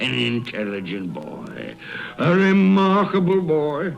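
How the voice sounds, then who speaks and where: An actor playing an elderly reformed miser giddy with joy, in exclamatory, theatrical radio drama style. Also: male voice, medium pitch, lively intonation, slow, gravelly, muffled recording, faint music behind. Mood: delighted, kindly. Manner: exclamatory, chuckling, swooping delivery.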